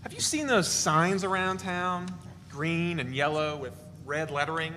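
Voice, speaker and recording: Man playing a bright-voiced glib tall-tale teller, echoey hall. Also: fairly high pitch, measured pace. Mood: glib, boastful. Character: glib, teasing man spinning deadpan tall tales. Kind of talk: dramatic reading of a novel before an audience. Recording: noisy, reverberant hall recording.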